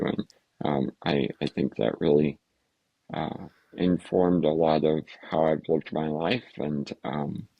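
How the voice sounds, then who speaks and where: A man, a podcast guest, speaking casually and haltingly with many fillers, restarts and soft laughs. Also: very deep voice, creaky, husky, no echo, muffled recording. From United States. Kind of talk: casual interview talk.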